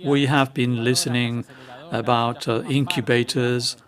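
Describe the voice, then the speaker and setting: A man's voice: mid-range voice, little pitch movement, measured pace, fairly bright tone. A man moderating a panel discussion, introducing the next speaker with many hesitations.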